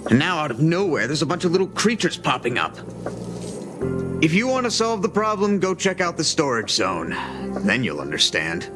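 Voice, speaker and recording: A man's voice: on the high side, huge pitch swings, normal speed. A man playing a shifty, defensive space station worker in a game, suspicious and grumbling as he talks. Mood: grudging, weary. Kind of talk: scripted game dialogue. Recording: music playing behind the voice.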